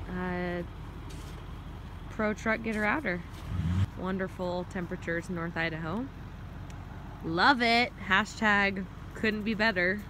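A woman vlogging outdoors in the snow on a handheld phone, joking and excitable with bursts of high shouts. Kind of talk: casual vlog narration. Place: outdoors in snow by a stuck truck. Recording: loud outdoor noise.

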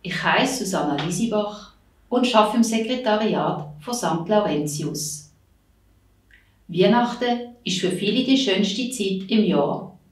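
A woman, a parish secretary, giving a gentle, calm Christmas greeting. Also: medium pitch. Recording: echoey room, mic at a distance.

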